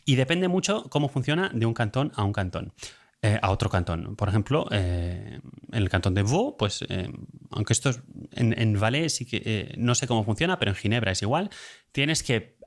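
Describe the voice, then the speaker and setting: Man, accent from Spain, low voice, informal explainer host who talks conversationally and feels his way through each point. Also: animated, normal speed. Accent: Spanish from Spain. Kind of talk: casual informal explainer monologue.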